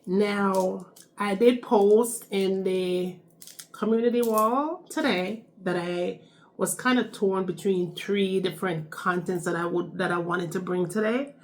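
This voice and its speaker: A woman's voice: mid-range voice, measured pace. A young Jamaican woman with a Jamaican accent, chatting casually in a storytime vlog, repeating phrases and tagging lines with "okay?". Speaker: vlogger.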